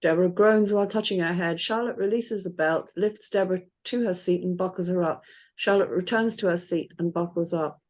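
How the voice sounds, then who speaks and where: A woman's voice: on the low side, brisk, a little mellow. A woman reading out stage directions as scripted narration.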